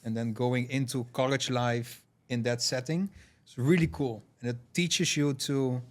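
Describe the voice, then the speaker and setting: A man from Curaçao, a guest on a podcast, telling stories in a thoughtful, relaxed way with many fillers. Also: medium pitch, measured pace. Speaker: podcast guest, payments founder. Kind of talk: casual podcast conversation.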